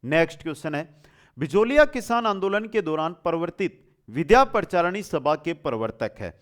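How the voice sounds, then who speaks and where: High-pitched, animated man teaching. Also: moderate pace. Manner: emphatic, repeats questions and answers for drilling. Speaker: exam coaching teacher. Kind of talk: quiz-style lesson, reading questions and repeating answers.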